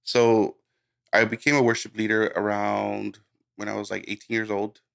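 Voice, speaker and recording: A man from Guatemala who grew up in the United States, giving a casual interview as a music producer, telling his story informally and haltingly. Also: on the low side, flat delivery, gravelly, fairly bright tone, clear, resonant tone. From born in Guatemala, raised in the United States. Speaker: music producer, podcast guest.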